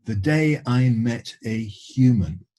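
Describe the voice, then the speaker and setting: Slow, husky man reading a story. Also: mid-range voice, lively intonation, mellow. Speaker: reader of children's stories. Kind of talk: storybook reading with informal introduction.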